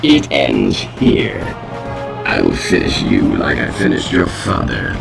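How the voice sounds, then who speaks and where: An actor playing an ancient, vengeful robotic owl villain in a video game, gravelly, pompous and coldly menacing. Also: male voice, low voice, wildly swooping pitch, takes it slowly, exaggerated voice. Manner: pompous, grandiose. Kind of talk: video game cutscene acting. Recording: loud music behind.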